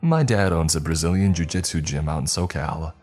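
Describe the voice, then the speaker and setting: A man voicing a steady, practical young woman who tries to calm others and takes charge. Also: rumbling low, brisk, breathy edge, soft background music, heavily acted.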